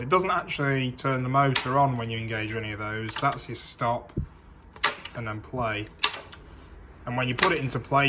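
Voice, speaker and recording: A man's voice: medium pitch, noisy background. A British man giving a casual, unscripted show-and-tell, thinking aloud and correcting himself in a hesitant way. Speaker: vintage audio collector presenting a machine.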